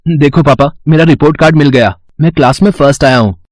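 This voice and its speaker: A man's voice: on the high side, fast, dry recording, close to an everyday voice. A man voicing a haughty, boastful rich schoolboy in a children's story. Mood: proud. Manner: haughty, sneering.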